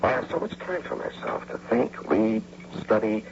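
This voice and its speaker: A man's voice: lowish voice, very animated, moderate pace. A man acting in a radio drama as a scheming, bitter husband, insistent and persuasive, repeating himself for emphasis. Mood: smug, domestic. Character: scheming, bitter, persuasive husband and electrical engineer turned would-be robber. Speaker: actor narrating and playing the lead. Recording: muffled, noisy old radio broadcast.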